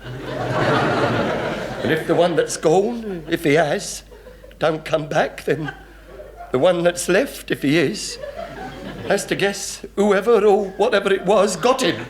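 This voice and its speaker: A man's voice: high voice, lively intonation, slow pace, pauses often, a crowd in the background. A man playing a cowardly, flustered naval chief petty officer in a radio sitcom, stammering and repeating himself as he panics. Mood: nervous, muddled. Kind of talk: 1960s radio sitcom acting. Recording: noisy old radio comedy recording.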